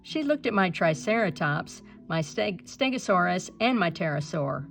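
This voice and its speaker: A woman reading a children's storybook aloud in an expressive narrating style, with some creak in the voice. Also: on the low side, normal speed, faint music behind. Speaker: storybook reader.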